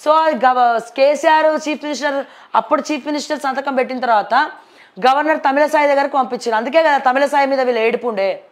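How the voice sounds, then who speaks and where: A woman news presenter with a distinctly high voice and a Telangana accent. She reads headlines and comments on them in a conversational, opinionated way, dropping in English words as she goes. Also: brisk.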